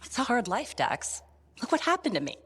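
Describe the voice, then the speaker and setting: A woman voicing a reflective, gentle cartographer just freed after ten years, in a video game. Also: a little high, sing-song, fairly quick, husky, heavily acted.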